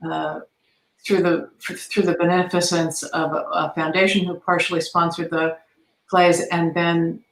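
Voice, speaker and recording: A woman's voice: on the low side, little pitch movement, measured pace, slight background noise. A woman giving enthusiastic, conversational answers as a guest in an interview. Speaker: guest, theatre arts advocate. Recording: roomy, echoey sound.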